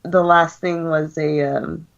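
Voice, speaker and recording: An American woman with a cold, chatting casually on a radio show, speaking with fillers and soft laughs. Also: low-pitched, steady tone, slow. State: sick with a cold, deeper voice. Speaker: guest co-host. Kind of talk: casual chat. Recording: lo-fi online radio call.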